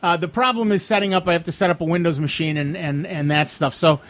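Higher-voiced joking older radio host. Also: male voice, fairly even intonation, quick pace, fairly bright tone. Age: 60s. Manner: joking, self-deprecating. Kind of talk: casual radio chat. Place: radio studio.

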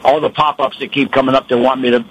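A man from Rochester, New York, calling in to a radio show; he has a gravelly voice and talks haltingly with many restarts. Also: medium pitch, fairly even intonation, low-quality recording. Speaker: caller. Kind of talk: radio call-in.

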